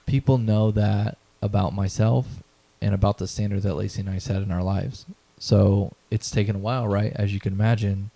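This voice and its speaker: A man's voice: on the low side, dark tone. A man in his thirties being interviewed, talking earnestly about his mission and values, often checking in with "right?". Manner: earnest, motivational, repeats phrases, tags "right?". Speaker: event founder, guest. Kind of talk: conversational interview.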